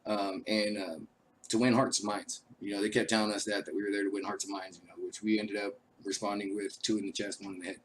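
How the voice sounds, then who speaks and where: A man who is an army veteran hosting his own show, talking casually and rambling with many fillers, his voice raspy from a cold. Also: on the low side, little pitch movement, fast talker, a little hiss or hum, rough recording. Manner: laid-back, rambling, frequent uh fillers. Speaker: show host. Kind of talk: casual live-stream talk.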